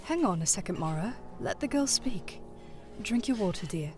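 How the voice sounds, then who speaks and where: A woman playing a calm, kindly younger businesswoman with a measured manner in an audio horror drama. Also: medium pitch, soft background music, natural voice. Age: young adult.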